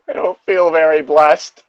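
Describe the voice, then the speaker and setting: A man with a slightly high voice, recorded dry. He reads aloud and banters casually in a sardonic, incredulous way, playing it for comedy. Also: no room sound, lo-fi sound. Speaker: co-host reading fan fiction aloud.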